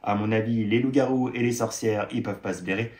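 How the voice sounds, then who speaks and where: A man's voice: on the low side, fairly even intonation, fast, a little mellow. A young man vlogging about upcoming books, chatty and playful, reading blurbs aloud and adding joking asides. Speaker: book vlogger. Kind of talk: casual book review, reading blurbs aloud. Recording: echoey room.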